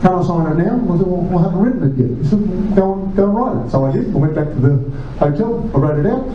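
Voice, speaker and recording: Animated Australian man in an echoey pub. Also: sits high, a little hiss or hum. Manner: animated storyteller, quick asides, restarts. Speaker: chair of a republican movement, guest speaker. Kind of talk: pub Q&A talk. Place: pub function room. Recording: distant, muffled, roomy pub recording.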